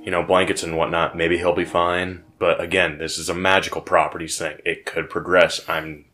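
A man's voice: low-pitched, flat delivery, normal speed, bright, forceful tone, soft background music. A man playing a tabletop game podcast, rambling casually with lots of fillers. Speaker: player.

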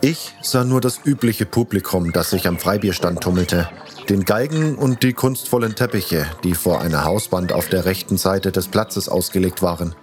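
A man's voice: low voice, moderate pace. A man narrating a fantasy audio story in the first person, reading from a script as its protagonist. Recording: faint music behind the voice.

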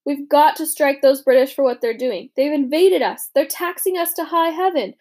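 A woman voicing an eager, intense young male inventor in an audiobook, earnest and quick to rally. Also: high-pitched, animated, pitched above the performer's own voice. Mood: fervent. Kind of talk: audiobook character reading.

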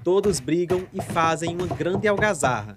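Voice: mid-range voice, animated, moderate pace, music in the background. A narrator telling a radio soap story in a theatrical, scripted style.

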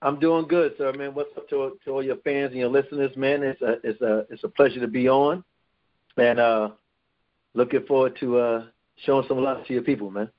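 A man's voice: mid-range voice, fairly even intonation, moderate pace. Rambling New man, muffled line. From New York. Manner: rambling with many restarts. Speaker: guest. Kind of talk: casual interview talk.

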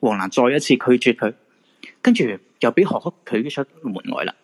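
A male narrator telling a radio drama in dramatic scripted style. He has a Hong Kong Cantonese accent, a husky mid-pitched voice and very animated intonation. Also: brisk.

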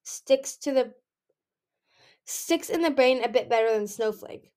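A woman's voice: fairly high pitch, a little slow, darkish tone, voice pushed up, close to an everyday voice. A woman voicing an earnest, dutiful young male dragon prince in a storybook, formal and a little awkward as he speaks.

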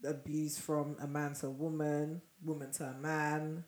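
Woman podcast co-host, hoarse froggy low voice, chatty and laughing, echoey room. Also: moderate pace. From Nigeria. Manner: laughs often, animated. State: losing her voice. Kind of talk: casual chat.

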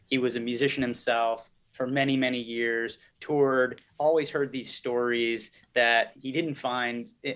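A man's voice: medium pitch, a bit bright. A man giving conversational interview answers as a business guest, explaining and recounting in an articulate way. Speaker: podcast guest, company chief executive.

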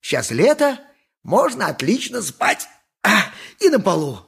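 Boastful, high-pitched tipsy donkey driver. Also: male voice, huge pitch swings, unhurried, vocal fry, a bit bright, husky, exaggerated voice. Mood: indignant. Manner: theatrical, mocking, swinging between crowing and muttering. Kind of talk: radio play acting, 1980s.

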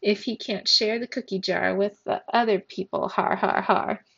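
A woman voicing an excitable, theatrical young girl in a storybook, teasing and exclaiming dramatically. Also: mid-range voice, normal speed, vocal fry, husky, highs cut off. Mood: triumphant teasing.

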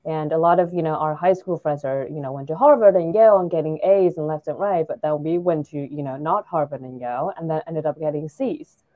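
A woman's voice: low voice, a little fast, no echo, phone-quality sound. A woman in her forties from China, a startup founder talking thoughtfully as an interview guest, with many fillers and restarts. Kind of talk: casual interview conversation.

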